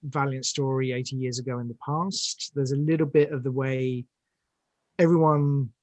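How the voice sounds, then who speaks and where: A man's voice: mid-range voice, steady tone, moderate pace, darkish tone, no echo. A British man talking as an interview guest, enthusiastic but searching for his phrases as he goes. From United Kingdom. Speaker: interview guest, audio drama director. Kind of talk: conversational interview.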